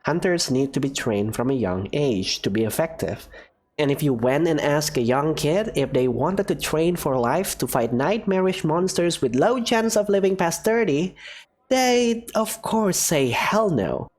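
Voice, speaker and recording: A man's voice: a little high, animated, darkish tone, pitched above the performer's own voice. A man voicing a wry female professor. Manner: measured, dryly teasing lecturing tone. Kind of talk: fan visual novel voice acting.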